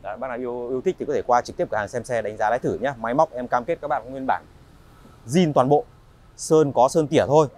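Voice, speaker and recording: A man in his thirties from Vietnam giving a polite, chatty walk-around sales pitch in a large indoor car showroom, with frequent filler pauses. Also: darkish tone.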